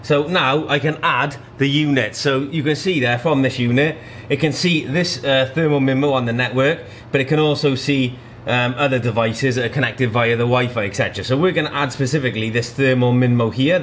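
A man in his thirties demonstrates a product in an informal, step-by-step way. His voice sits at an average pitch. There is audible room noise behind him in an indoor showroom. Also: a little fast, a bit bright. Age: 30s. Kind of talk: hands-on tutorial narration.

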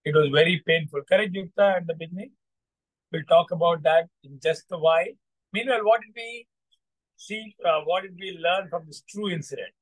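Higher-pitched Indian man coaching. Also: no echo, poor audio. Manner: halting, repetitive, encouraging. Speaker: coach and host. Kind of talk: motivational storytelling to an online group.

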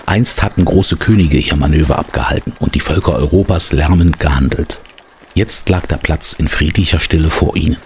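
A man narrating an adventure radio play in a measured storybook manner. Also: a bass voice, a little hiss or hum. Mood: measured storytelling. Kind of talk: scripted audio drama narration.

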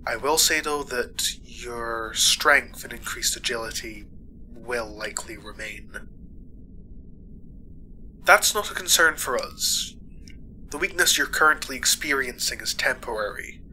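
Male doctor, very bright forceful tone, formal and reassuring, sci-fi audio drama, slight noise. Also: mid-range voice, low-quality recording. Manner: formal, measured, clinical. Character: calm, reassuring male space-hospital doctor. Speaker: voice actor. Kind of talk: audio roleplay acting.

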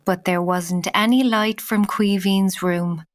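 A woman's voice: mid-range voice, steady tone, slow pace, lightly acted. A woman with an Irish accent playing the narrator of a children's tale in scripted storytelling. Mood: calm.

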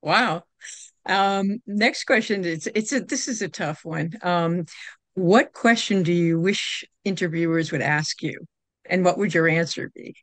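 An older woman interviewing an author over a video call from a room lined with bookshelves, friendly and quick to laugh. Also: on the low side, no room sound. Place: home room full of bookshelves.

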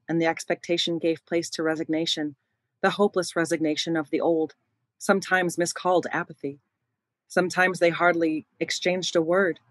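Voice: mid-range voice, fairly bright tone, no room sound. A narrator delivering scripted dramatic storytelling for a radio play.

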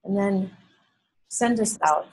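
Husky woman teaching a class. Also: medium pitch, takes it slowly, low-quality recording. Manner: friendly, animated, gestures with hands. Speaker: seminary instructor. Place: large classroom with drop ceiling. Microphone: camera on the table, below the speaker.

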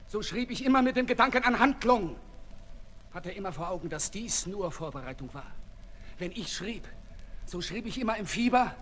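A man's voice: high-pitched, fairly bright tone. A man playing an ailing, embittered revolutionary in a 1960s German radio drama, declaiming with intensity. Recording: echoey hall, noisy 1960s radio recording.

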